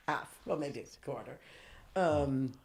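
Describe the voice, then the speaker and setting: A woman's voice: deep voice, takes it slowly, creaky, a little hiss or hum. A woman guest on a podcast, telling stories in casual chat with lots of swearing and laughter. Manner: profane, laughing, animated storyteller.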